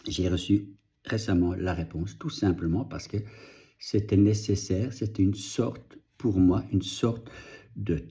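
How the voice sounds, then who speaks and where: A middle-aged man whose first language is Dutch, speaking French slowly and reflectively in a personal video update, pausing and restarting, audibly moved. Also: deep voice, steady tone, mellow. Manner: slow, reflective, halting with restarts. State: moved, emotional. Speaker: vlogger and author.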